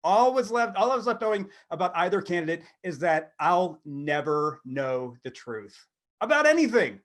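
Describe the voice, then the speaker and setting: Wry, higher-voiced man in his thirties. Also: sing-song, a bit bright. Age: 30s. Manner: wry, halting, self-deprecating. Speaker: podcast co-host. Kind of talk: casual comedic monologue and chat.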